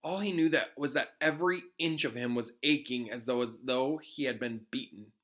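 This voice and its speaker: A man, a teacher, reading a story aloud to students in an expressive, suspenseful storytelling manner. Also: mid-range voice. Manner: expressive, suspenseful, pauses to question listeners. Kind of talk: dramatic storybook read-aloud.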